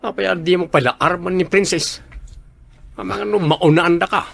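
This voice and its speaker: A man's voice: high voice, fairly even intonation, moderate pace, gravelly, soft background music. A man acting a teasing, nosy male coworker in a radio drama.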